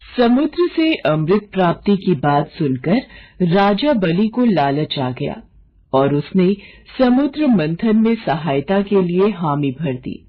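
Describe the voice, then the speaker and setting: A woman's voice: on the low side, muffled recording. A woman narrating a scripted mythological tale as a storyteller.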